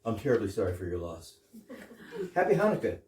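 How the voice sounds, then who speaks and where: A man's voice: lowish voice, very animated, a little fast, a little mellow, a little hiss or hum. A man giving a humorous prepared introduction to an audience, explaining things with dry wit. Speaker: guest introducer of the play. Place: indoor performance space with audience. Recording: echoey room, distant microphone.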